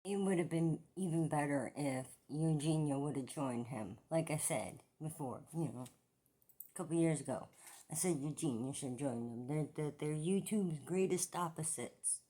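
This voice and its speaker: A woman with a low, mellow voice gives casual reaction commentary. Her remarks come dry and sarcastic. She sounds unhurried and relaxed. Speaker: reaction video host.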